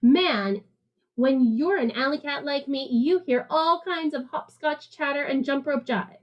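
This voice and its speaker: A woman's voice: high voice, unhurried, lo-fi sound. A woman voicing a streetwise, jazz-loving alley cat who narrates a picture book in rhythmic, playful slang. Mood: swinging, enthusiastic. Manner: slangy, jive-talking, rhythmic, playful emphasis.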